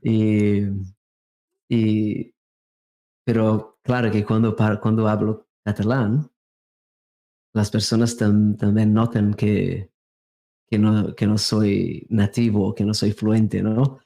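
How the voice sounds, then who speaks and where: Man, thirties, low dark voice, Portuguese-influenced non-native accent, halting, word-searching, casual interview guest. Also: level tone, vocal fry. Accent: non-native, Portuguese-influenced Spanish. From Brazil, raised in England from thirteen. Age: 30s. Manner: halting, searching for words, mixing languages.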